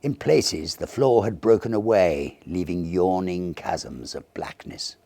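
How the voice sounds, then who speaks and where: A man reading a Victorian ghost story as an audiobook narrator, theatrical and expressive, with deliberate phrasing. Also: low-pitched, vocal fry, no room sound.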